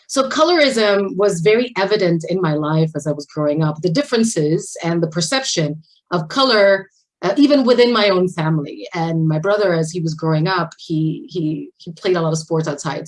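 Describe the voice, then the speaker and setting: Emotional woman from Puerto Rico, halting. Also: lowish voice, poor audio. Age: 40s. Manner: emotional, halting, with frequent restarts and laughs. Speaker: guest speaker. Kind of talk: personal talk at a virtual symposium. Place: home at a video call. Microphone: video call mic.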